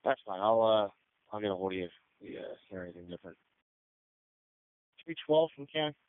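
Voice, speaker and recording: A man's voice: lowish voice, animated, brisk, smooth, dry recording. A man working as a county dispatcher on two-way radio, speaking in a clipped, procedural, matter-of-fact way. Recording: muffled narrow-band two-way radio traffic.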